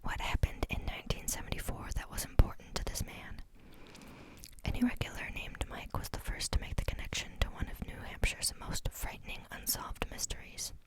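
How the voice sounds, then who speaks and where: A woman reading a book aloud in a soft, unvoiced whisper, steady but sometimes stumbling on a word and starting it again. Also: brisk, low-quality recording. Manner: reads steadily, stumbles and restarts on words. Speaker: reader.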